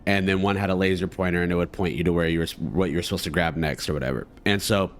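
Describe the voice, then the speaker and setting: A man's voice: low-pitched, fairly even intonation, fast talker. A man giving casual let's play commentary, rambling in slang and talking to his viewers. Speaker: gaming video host.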